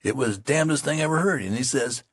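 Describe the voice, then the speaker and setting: A man voicing an excitable young soldier who gossips in rustic dialect. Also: medium pitch, fast, slightly rough, dry recording, studio quality, pitched above the performer's own voice, natural voice. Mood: excited. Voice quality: breathless, eager country gossip. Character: excitable young male soldier bringing news.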